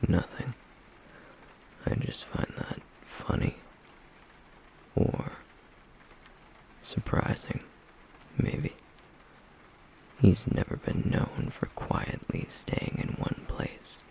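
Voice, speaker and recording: A man voicing a stoic, guarded flame demon in an intimate ASMR roleplay, with a breathy, gravelly murmur and a terse, deadpan, caring manner. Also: drawn-out words, long pauses, slight background noise. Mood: guarded, gentle.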